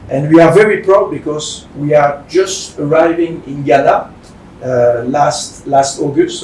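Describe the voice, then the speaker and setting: A man from Belgium with a French-speaking Belgian accent, giving a semi-formal speech as a company director in halting, non-fluent English. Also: darkish tone. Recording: roomy, distant mic with faint rumbling noise.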